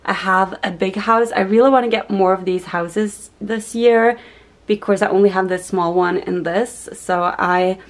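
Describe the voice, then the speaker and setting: Young woman vlogger, native Norwegian, casual kitchen tour, relaxed and conversational. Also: medium pitch, unhurried. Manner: relaxed, chatty show-and-tell. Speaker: lifestyle vlogger. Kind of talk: casual home tour narration. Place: home kitchen.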